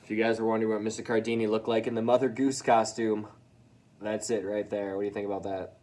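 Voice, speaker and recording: A man's voice: lowish voice, little pitch movement, quick pace, darkish tone. A man reading a children's storybook aloud expressively, with casual asides to his young listeners.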